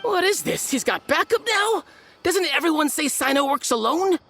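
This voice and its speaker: A blunt street dealer whose pitch jumps lively up and down. She is curt at first in manner but easily rattled. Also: moderate pace, vocal fry, soft background music, full character voice. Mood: alarmed. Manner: blunt, then jittery and pleading. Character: nervous street dealer of illicit goods, blunt.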